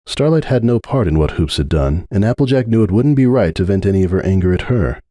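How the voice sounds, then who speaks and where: A man's voice: deep voice, expressive, fast talker, warm tone, no echo. A man reading a story aloud as a narrator in a dramatic audiobook style, close on the mic.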